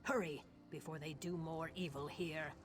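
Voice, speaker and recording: An actor playing a grim, fierce Argonian lizard-folk mage in a fantasy game, hissing and speaking in solemn, deliberate, formal phrases. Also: male voice, fairly high pitch, steady tone, natural voice. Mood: resolute. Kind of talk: fantasy video game voice acting. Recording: game audio with music behind.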